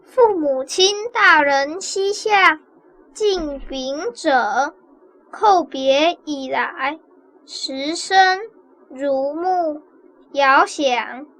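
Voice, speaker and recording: Young village child, shy apprentice scribe, Taiwanese accent, high voice, dragging hesitant delivery, radio play. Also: pushed and bright, full character voice, poor audio. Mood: puzzled, halting. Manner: halting, slow reading aloud. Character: timid young village child learning letter-writing. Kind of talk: radio drama acting.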